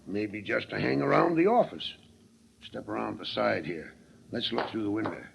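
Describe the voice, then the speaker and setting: Gruff suspicious western sheriff, really gravelly drawl, swings up and down, crackly old radio western. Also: male voice, on the low side, animated, normal speed. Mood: wary, conspiratorial. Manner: folksy western drawl, blustering and shrewd. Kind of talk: 1930s radio western acting. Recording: crackly noisy 1930s radio recording.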